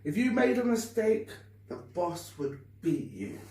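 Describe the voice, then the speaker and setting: A woman reading a story aloud to children with deliberate, expressive phrasing. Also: fairly low pitch. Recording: echoey room, distant mic, faint noise.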